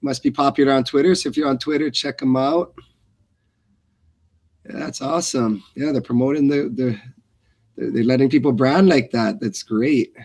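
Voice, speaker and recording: A man's voice: medium pitch, moderate pace, pauses often, a little mellow. Guy on a fight radio show, just chatting along casually as the second commentator. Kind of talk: casual chat during live fight commentary.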